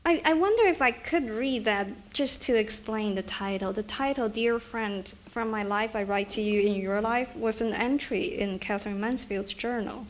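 Woman, middle-aged, author at a book event, soft-spoken, hesitant, fairly high voice. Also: normal speed, hardly any pauses. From China. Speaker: author at a reading. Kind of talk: live book event Q&A and reading aloud.

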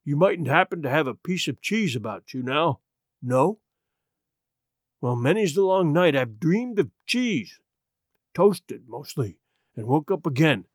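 A man voicing a marooned old pirate, childlike and sly, with a hoarse, rusty voice and rustic sailor speech for a storybook. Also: on the high side, big pitch swings, unhurried, long pauses, no echo, clean studio sound. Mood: plaintive.

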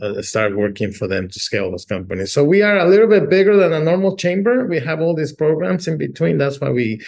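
Halting man from Mexico, guest chat. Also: mid-range voice. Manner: halting, many restarts and fillers. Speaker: chamber executive guest. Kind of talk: casual interview talk.

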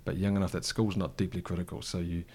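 Man, middle-aged, New Zealand accent, very deep voice, thoughtful, stop-start phrasing. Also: little pitch movement, fast talker, a little mellow, breathy edge. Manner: thoughtful, frequent restarts and fillers. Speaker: interview guest, cafe co-founder. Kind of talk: reflective conversational interview.

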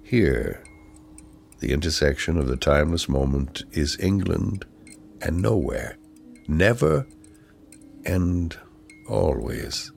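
Male poetry reader, extremely low and gravelly, solemn and measured, slow delivery. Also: lively intonation, darkish tone, slight background noise. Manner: measured, deliberate phrasing with weighty pauses.

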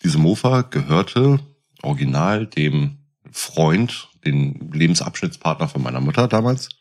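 Male, very low and rough-edged. Animated, casual anecdote teller. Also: measured pace.

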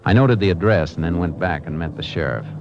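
An American man playing a calm, shrewd Texas Ranger in a 1950s radio drama, speaking in a laconic, matter-of-fact way. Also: low voice, a little fast. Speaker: actor playing lead lawman. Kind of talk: 1950s radio drama acting with first-person narration. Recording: muffled, noisy 1950s radio recording.

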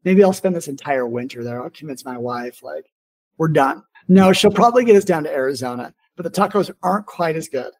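Higher-voiced man, informal podcast host. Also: lively intonation, a little fast. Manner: informal, rambling, frequent "like". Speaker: podcast host and business owner. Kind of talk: casual conversational interview.

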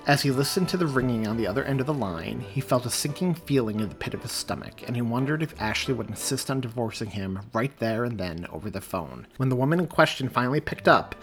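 A man reads his own novel aloud at a mid pitch, steady and measured. Soft music runs quietly beneath the narration. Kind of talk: audiobook narration.

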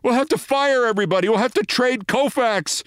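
A man voicing a panicking, high-strung baseball executive who shouts frantically in a story. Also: fairly quick, smooth, no echo, clean studio sound. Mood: frantic anger. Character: panicking, high-strung baseball general manager, near hysterical.

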